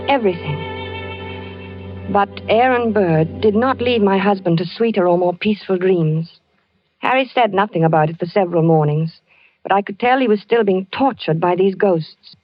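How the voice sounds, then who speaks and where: An actress in an old-time radio drama playing a worried, solicitous wife who coaxes and pleads. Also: female voice, medium pitch, lightly acted. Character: worried, coaxing wife of a troubled man. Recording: muffled vintage radio recording with music.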